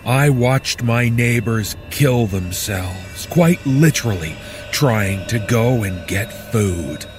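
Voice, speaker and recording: A man playing a weary, bitter disaster survivor, narrating a horror story in the first person with deliberate, dramatic pauses. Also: lowish voice, animated, over music. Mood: haunted, grim.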